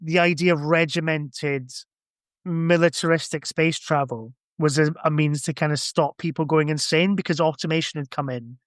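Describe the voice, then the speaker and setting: A man's voice: fairly high pitch, fairly even intonation, normal speed, no room sound, studio quality. A man chatting casually in a rambling, hedging way, as a guest on a podcast. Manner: hedging, rambling, full of kinda and sort of. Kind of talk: casual chat about science fiction books.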